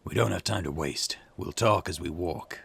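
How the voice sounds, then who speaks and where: A man voicing a gruff, impatient older police detective in a visual novel, with curt, weary, grumbling delivery. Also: low voice, normal speed, fairly bright tone, no echo, heavily acted. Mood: stern, impatient. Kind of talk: acted visual novel dialogue. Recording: music behind the voice.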